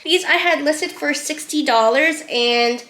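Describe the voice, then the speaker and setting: A woman's voice: high-pitched, measured pace, fairly bright tone. A young woman vlogger getting over a cold, chatting casually about items she has sold. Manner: chatty, with frequent "um" and "like". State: recovering from a cold. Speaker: reseller vlogger. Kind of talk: casual vlog narration.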